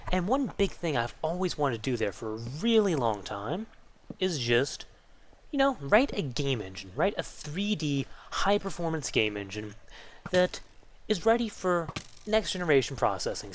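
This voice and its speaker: A man's voice: on the high side, a little hiss or hum. A young man giving a casual, rambling update to viewers, often restarting sentences and putting himself down. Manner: self-deprecating, rambling with false starts. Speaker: tutorial video creator.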